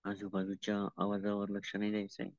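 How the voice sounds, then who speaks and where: A man with an Indian Marathi accent leading a guided meditation as a teacher, calm and unhurried, pausing between instructions. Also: lowish voice, no echo. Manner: calm, unhurried, with long pauses and repeated instructions. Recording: dull lo-fi audio.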